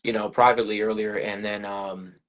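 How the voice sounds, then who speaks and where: Casting note: American male host, low flat delivery, rambling casual live-stream talk. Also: brisk, highs cut off. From United States. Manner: loose, rambling, repeats phrases. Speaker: podcast host.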